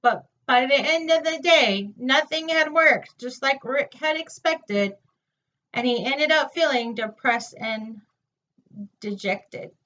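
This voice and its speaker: A woman reading a children's picture book aloud with an expressive, singsong delivery, in a dull, distant recording. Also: high-pitched, slow pace. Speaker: storytime reader.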